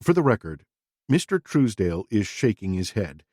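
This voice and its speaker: A man voicing a calm interviewer who asks flat, measured, terse questions. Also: low voice, no echo, studio quality. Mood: cool, probing.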